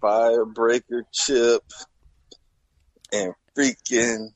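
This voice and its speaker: A man's voice: mid-range voice, flat delivery, very slow, no echo, rough recording. Man chiming in on a wrestling chat. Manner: laughing, chiming in with short remarks. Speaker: podcast co-host.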